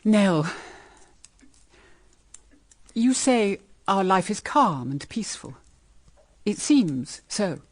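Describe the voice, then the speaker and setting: An anxious British mother, expressive. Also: medium pitch, no echo, exaggerated voice. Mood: brooding. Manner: grave, measured, dramatic phrasing. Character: anxious, brooding mother of two grown daughters. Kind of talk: period radio drama acting.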